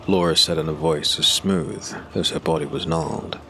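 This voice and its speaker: A man narrating a dark fantasy audiobook as scripted storytelling, in a grave and dramatic manner. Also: extremely low pitch, fairly even intonation, normal speed, a little hiss or hum. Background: music.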